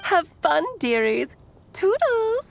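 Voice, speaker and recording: A woman's voice: very high voice, sing-song, takes it slowly, creaky, a little hiss or hum, exaggerated voice. A woman playing a playful, eccentric motherly mage in a video game, with a theatrical, teasing sing-song manner. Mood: breezy, playful. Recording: dull lo-fi recorded message.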